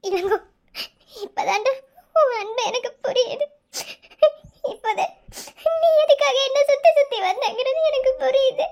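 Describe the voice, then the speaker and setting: A woman acting as a distressed young student with an extremely high, childlike pitch. She pleads tearfully and earnestly, in the heightened style of melodramatic audio drama. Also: a little slow, pauses often, a little mellow, muffled recording, faint music behind. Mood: tearful despair. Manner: tearful, imploring.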